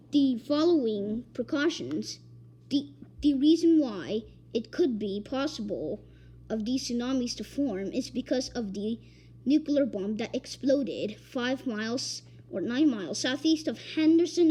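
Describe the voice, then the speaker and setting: A woman reading a made-up emergency alert, halting and stumbling over repeated words. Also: fairly high pitch, lively intonation, mellow, husky. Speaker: amateur emergency alert announcer. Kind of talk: improvised emergency broadcast reading.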